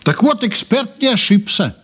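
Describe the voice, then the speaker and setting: Insistent investigator, animated higher male voice. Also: moderate pace, husky, heavily acted. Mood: confident, ironic. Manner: probing, polite but firm, emphatic. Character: male police investigator, insistent, ironic and authoritative. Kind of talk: radio play acting, interrogation.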